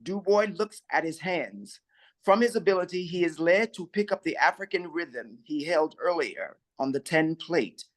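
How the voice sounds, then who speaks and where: A man reading stage directions aloud in a measured, even way during a play reading. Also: fairly high pitch.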